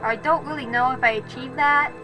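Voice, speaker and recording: A woman's voice: sits high, fairly even intonation, fairly quick. A woman doing casual voice-over narration for a craft video, rambling and correcting herself as she goes. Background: music.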